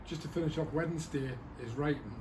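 A man with a fairly high voice fires off quick, friendly post-match questions as a club interviewer. The outdoor recording has clear background noise. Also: distant mic. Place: football stadium stand, outdoors. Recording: outdoor camera audio with noise.

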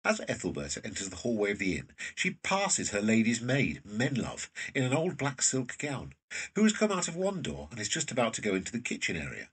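A man's voice: medium pitch, huge pitch swings, a little fast, fairly bright tone, breathy edge, recorded from a distance, rough recording. A man reading scripted stage directions as the narrator of a drama, a little off the microphone.

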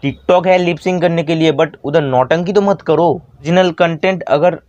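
A young man with a somewhat high voice delivers a roast. He is brash and taunting, throwing out swear words as he speaks straight to camera. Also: normal speed, darkish tone, no echo, dull audio. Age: young adult. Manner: brash, taunting, foul-mouthed. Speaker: YouTube roast video host. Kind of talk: mocking roast commentary to camera.

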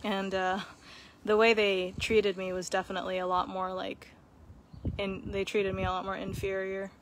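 A woman's voice: mid-range voice, monotone, moderate pace, background noise. A young woman from Okinawa, Japan, chatting casually on a live stream outdoors, thoughtful, with many fillers. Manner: thoughtful, talks with frequent fillers and laughs. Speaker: vlog host. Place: outdoors under a concrete shelter in a grassy park. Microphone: phone held at arm's length.

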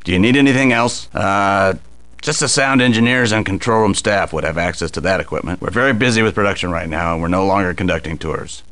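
An actor playing a harried, impatient TV studio boss in a video game, brusque and dismissive. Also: male voice, sits low, fairly quick, a bit bright. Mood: brusque, defensive.

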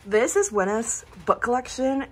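Young american girl vlogging, kinda high voice, playful and chatty, noisy phone audio. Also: lively intonation, normal speed. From born in Korea, grew up in Missouri. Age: young adult. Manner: playful, teasing, informal. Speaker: student vlogger. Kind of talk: casual chat to camera. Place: indoors in dorm rooms and lounges. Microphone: phone at arm's length. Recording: handheld vlog audio with clear background noise.

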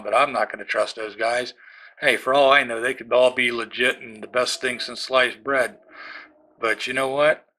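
A middle-aged man talks through a product review in a loose, rambling way, tossing in jokey asides. His voice is bright and forceful, sitting at an ordinary pitch for a man. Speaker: channel host. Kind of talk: casual product review and shopping tips.

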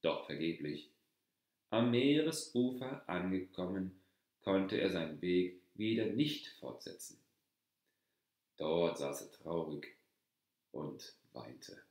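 Deep-voiced guy reading a fairy tale real slow, calm and measured, echoey room. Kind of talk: slow storybook narration.

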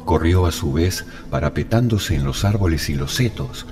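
A man narrating a literary story in a dramatic, measured and deliberate way. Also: low-pitched, animated. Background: music.

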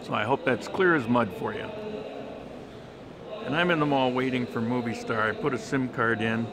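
A man talking through a casual walking travel vlog in a shopping mall, relaxed and chatty with plenty of fillers. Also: medium pitch, normal speed, a crowd in the background. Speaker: travel vlogger. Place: outdoor mall terrace and shopping center. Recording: handheld camera with street and mall noise.

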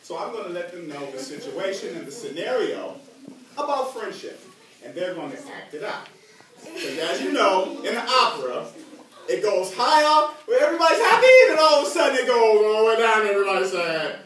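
Animated American man, very echoey room. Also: sits high, fairly quick. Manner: animated, theatrical. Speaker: presenter at a school event. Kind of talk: playful interactive presentation to children. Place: classroom, indoors, full of children. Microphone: distant camera. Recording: very echoey, noisy classroom, mic far away.

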